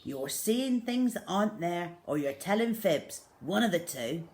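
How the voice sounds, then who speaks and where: A woman voicing a stern, blustering farmer father in a children's story, forceful and emphatic. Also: fairly low pitch, very animated, measured pace. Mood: dismissive.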